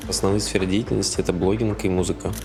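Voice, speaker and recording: Creaky, low, fast-talking young man. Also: fairly even intonation. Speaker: reality show contestant. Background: faint music.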